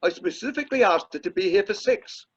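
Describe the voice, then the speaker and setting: A man's voice: sits high, lively intonation, quick pace, slightly rough. An amateur actor playing a pompous, irritable middle-aged British drama society chairman in a radio play. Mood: irritated, bossy. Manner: pompous, self-dramatising, exasperated. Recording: muffled, lo-fi video call audio.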